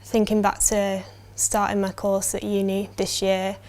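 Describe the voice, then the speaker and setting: A young woman, speaking in a level, matter-of-fact way. Her pitch stays flat and even, in a middle range. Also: measured pace. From Yorkshire, England. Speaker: university student, interviewee. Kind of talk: answering interview questions.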